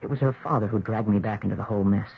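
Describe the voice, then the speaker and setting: A man's voice: lowish voice, racing, creaky, husky. An actor playing a haunted, pained young man with a brooding, terse manner in a 1970s radio drama. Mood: guarded, brooding. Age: young adult. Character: haunted, pained young man telling his troubled story. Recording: dull, dry old radio recording.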